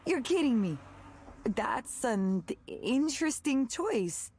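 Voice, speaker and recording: A woman's voice: fairly high pitch, very animated, takes it slowly, darkish tone, slight background noise, exaggerated voice. An actress playing a caring woman artist and old friend in a visual novel game, talking naturally and thoughtfully. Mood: pleasantly surprised.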